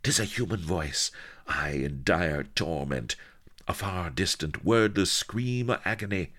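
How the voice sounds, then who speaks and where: A passionate pirate queen from an adventure story, acted out by a male reader. The voice is lifted high and swoops theatrically, with melodramatic, swooning delivery and grand archaic turns of phrase. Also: animated, measured pace, fairly bright tone, heavily acted. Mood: alarmed, urgent.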